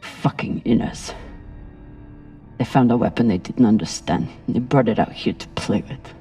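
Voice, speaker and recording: A woman's voice: rumbling low, moderate pace, dark tone, soft background music. A woman playing a hardened, bitter space-crew leader in a video game, with a terse, grim delivery. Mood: bitter anger. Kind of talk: dramatic video game acting.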